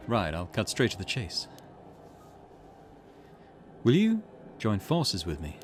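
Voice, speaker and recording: Man reading as a low-voiced, calculating young rival. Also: huge pitch swings, takes it slowly, faint music behind, full character voice. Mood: calm, persuasive. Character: confident young male rival curse bearer, coolly calculating.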